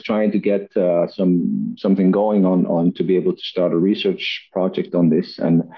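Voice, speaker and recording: A man from Iceland telling his story on a podcast in a chatty, relaxed way, with frequent "uh" fillers and restarts. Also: low-pitched, slightly rough, lo-fi sound. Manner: chatty, laughs often, many "uh" fillers and restarts. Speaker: guest, acoustics company CEO. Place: Reykjavik, home or office.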